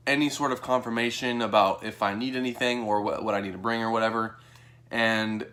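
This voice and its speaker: A man's voice: mid-range voice, a bit bright. Young adult American male, mid-range voice, informal conversational vlog storyteller. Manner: informal, conversational, acts out his own reactions. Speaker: vlogger. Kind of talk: casual first-person storytelling to camera.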